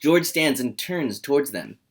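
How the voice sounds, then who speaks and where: A man's voice: medium pitch, animated, a little slow. A man hosting a comedy table read and narrating the screenplay's scene directions. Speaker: host and narrator.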